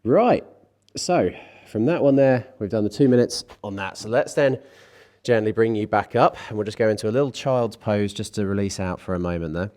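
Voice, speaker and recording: Deep-voiced, congested man coaching stretches. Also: normal speed. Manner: calm coaching with light self-mocking asides. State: congested from a cold, a bit nasal. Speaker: fitness instructor. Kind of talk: guided stretching class.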